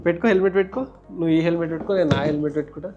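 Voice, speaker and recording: Very fast-talking Telangana Telugu man. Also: a little high, steady tone, lo-fi sound, over music. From India. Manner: explains step by step, conversational. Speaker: motovlogger and host. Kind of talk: casual hands-on tutorial vlog.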